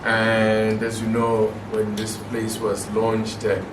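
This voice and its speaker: A loud, jovial man emcees an event with an informal manner. He sounds distant in a reverberant hotel function room. Background noise carries under the speech. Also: fairly low pitch, monotone, slow pace. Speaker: master of ceremonies. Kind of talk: event hosting. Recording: echoey room from far off, noisy.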